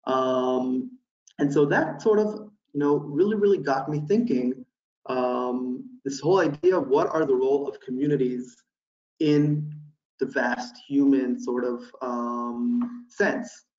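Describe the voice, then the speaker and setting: A man in his thirties from Houston giving a relaxed webinar presentation from a small room, with many ums and uhs as he thinks aloud over a laptop webcam. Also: mid-range voice, slow. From USA (Houston, Texas). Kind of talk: casual online presentation. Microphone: laptop webcam mic, video call. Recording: roomy, distant, dull webcam audio.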